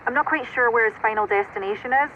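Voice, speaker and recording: An actress playing an efficient military operations officer, giving precise, businesslike briefings in a video game. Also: female voice, fairly high pitch, fast, natural voice. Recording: dull radio-like sound, noisy background.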